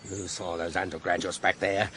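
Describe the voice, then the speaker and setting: An actor playing an embittered, pompous older intelligence chief in a radio drama, ranting in oratorical style. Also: male voice, deep voice, flat delivery, moderate pace, a bit bright, slight background noise. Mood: bitter, mounting outrage. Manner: pompous, oratorical, swinging from icy restraint to ranting.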